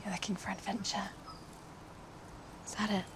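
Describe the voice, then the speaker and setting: A woman's voice: mid-range voice, level tone, moderate pace, close to an everyday voice. Actress as guarded young woman, noisy game audio. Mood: secretive warning. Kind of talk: naturalistic screen acting in an interactive film game. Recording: quiet game audio with clear background noise.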